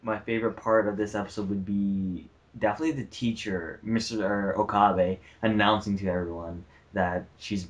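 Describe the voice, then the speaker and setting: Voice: sits low, steady tone, normal speed, hardly any pauses, poor audio. A fandub cast member chatting casually in a group commentary. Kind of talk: casual chat.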